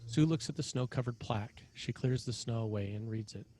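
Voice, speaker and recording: A man's voice: medium pitch, fairly quick, dark tone. A man reads scripted scene directions as the narrator of a screenplay table read.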